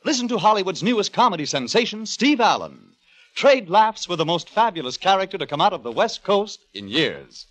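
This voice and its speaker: A man's voice: high-pitched, big pitch swings, fairly quick, a bit bright. A man announcing in a showy 1950s radio promotional style, on a vintage broadcast recording.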